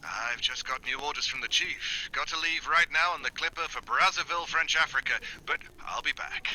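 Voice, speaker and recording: A man's voice: fairly high pitch, a little fast, bright, forceful tone, low-quality recording. A man playing a breezy, unflappable secret agent in 1940s radio style, suave and offhand through a telephone filter. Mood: placating. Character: breezy, unflappable male secret agent, smooth with his sweetheart.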